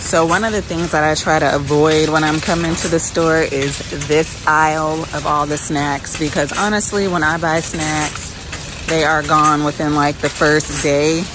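Woman vlogging casually amid store noise. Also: sits low. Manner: informal, conversational. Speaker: shopping vlogger. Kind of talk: casual shopping chat, narrating as she goes. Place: inside a large grocery store. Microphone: handheld phone. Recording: clear store ambience noise behind voice. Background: supermarket background noise.

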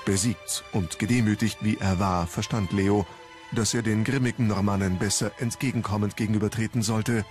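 A man's voice: lowish voice, darkish tone. A man narrating a scripted historical documentary in a storytelling style. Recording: music bed under the voice. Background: background music.

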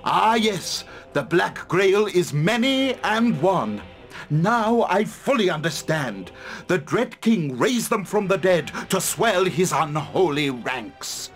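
High-voiced, portentous court sage. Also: male voice, very animated, takes it slowly, a bit bright, soft background music, heavily acted. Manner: portentous, theatrical, formal. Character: solemn, learned male sage at court. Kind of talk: fantasy game cutscene acting.

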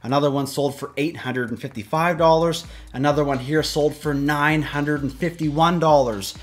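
A man's voice: on the high side, steady tone, normal speed. Man presenting an upbeat rundown. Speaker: YouTube host. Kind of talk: scripted-style auction price rundown to camera. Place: small indoor room with shelves of collectibles.

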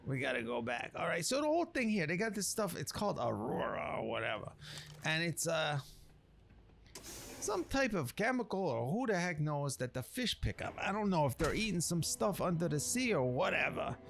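Male game storyteller, colloquial wiseguy, fairly high-pitched with some fry, faint noise behind. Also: very animated, normal speed, a bit bright. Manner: streetwise, colloquial, folksy wiseguy talk. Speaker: gameplay narrator playing a storyteller character. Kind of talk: in-character rambling game commentary.

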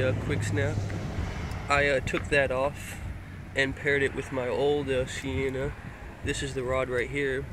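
A young man from Florida casually showing off his fishing gear, rambling with lots of hesitant fillers, filmed on a handheld phone inside a car. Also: medium pitch. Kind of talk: casual show-and-tell. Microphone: handheld phone pointed down at gear. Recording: noisy phone recording in a car.

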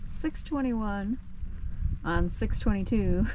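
A woman's voice: mid-range voice, slow pace. A woman chatting casually and upbeat on a camping trip, heard outdoors. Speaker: camper and paddler. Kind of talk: casual vlog chat. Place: outdoors at a campground and lake. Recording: outdoor noise, from off the mic.

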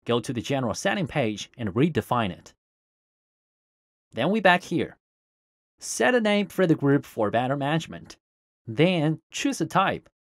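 A man's voice: fairly high pitch, dry recording. A man hosting a scripted tech tutorial as a voice-over, recorded close to the microphone. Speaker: tutorial host. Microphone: close voice-over microphone, dry.

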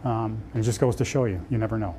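A man in his fifties speaking earnestly in an interview, pausing with fillers, inside a hospital room. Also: fairly low pitch, dark tone, slight background noise. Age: 50s. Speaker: interviewee, heart attack survivor. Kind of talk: casual interview answers. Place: hospital cath lab indoors.

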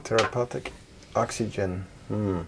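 A man's voice: fairly low pitch, a little slow, gravelly, a little hiss or hum. A man giving casual, curious commentary while he walks through indoor simulators, filmed on a handheld camera. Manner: relaxed, curious, talking while walking. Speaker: urban explorer host. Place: inside a large indoor training hall and plane simulator.

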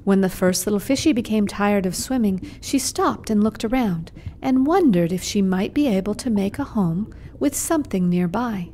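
A woman's voice: mid-range voice, moderate pace, few pauses, dark tone, slight background noise. Woman narrating a children's puppet show. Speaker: storyteller, puppeteer. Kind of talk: scripted children's story narration.

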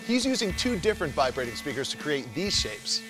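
A man's voice: sits high, sing-song, a little fast, over music, low-quality recording. An American man in his thirties hosting and narrating a scripted science show in a jokey, theatrical way. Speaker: host.

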